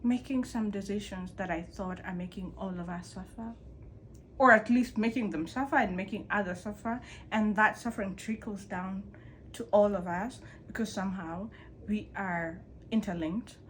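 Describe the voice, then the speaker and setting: A woman who grew up in Kenya speaks to her channel in a casual, candid monologue, her voice sitting at a middle pitch. She leans into words with sudden lifts for emphasis. A faint hiss runs under the recording. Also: poor audio. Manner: candid, conversational, animated emphasis with pitch jumps. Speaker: vlogger. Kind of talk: personal reflection monologue. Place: Canada.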